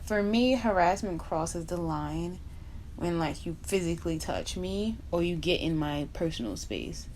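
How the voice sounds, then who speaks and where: A woman's voice: on the low side, lively intonation, measured pace, a little mellow, slight background noise. A young woman from Barbados chatting bluntly and casually while doing her makeup for a vlog, dropping in slang asides. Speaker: beauty vlogger.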